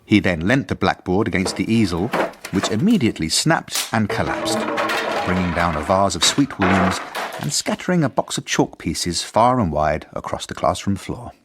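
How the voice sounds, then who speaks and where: A man's voice: low voice, animated, normal speed, music in the background. A man playing an earnest, formal, mild-mannered head teacher, narrating letters and journal entries in a radio drama. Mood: dry relish.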